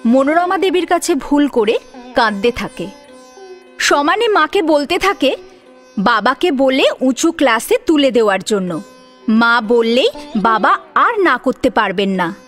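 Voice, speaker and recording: A woman's voice: sits high, very animated, unhurried, a bit bright, faint music behind. A woman narrating a serialized story dramatically, as its storyteller.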